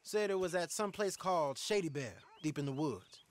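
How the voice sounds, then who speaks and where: Young Western gang member for a game, male actor: high voice, folksy, eager, clear background noise. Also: brisk, close to an everyday voice. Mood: eager, pitching. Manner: earnest, folksy, persuasive. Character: eager, sharp young male outlaw of the Old West. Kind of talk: video game cinematic acting. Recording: clearly noisy outdoor game ambience.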